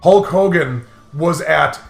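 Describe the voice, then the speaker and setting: A man's voice: a little high, slow pace, creaky. A man casually bantering and telling gaming stories in an emphatic, exasperated way. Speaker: host of a gaming commentary video. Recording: roomy sound with music behind.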